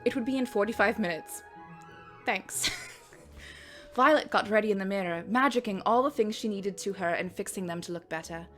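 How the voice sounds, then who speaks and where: A woman's voice: medium pitch, normal speed, a bit bright. Female storyteller, dramatic but self-mocking, narrates amateur fiction and comments drily on it, light music underneath. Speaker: reader and host. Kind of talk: dramatic reading of own fan fiction with wry asides.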